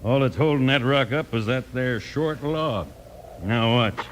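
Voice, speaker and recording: An actor playing a sneering, scheming Western outlaw boss who talks tough and gloats, in an old-time radio drama. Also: male voice, mid-range voice, a little slow, natural voice. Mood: gloating, scheming. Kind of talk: 1940s-50s Western radio acting. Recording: noisy old radio recording.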